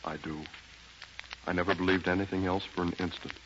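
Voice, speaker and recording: Low-voiced devoted husband played by an actor. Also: male voice, level tone, natural voice. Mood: heartbroken tenderness. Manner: tender, solemn, halting. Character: devoted, heartbroken husband, tender and restrained. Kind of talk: 1930s radio drama acting. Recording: dull, hissy 1930s radio recording.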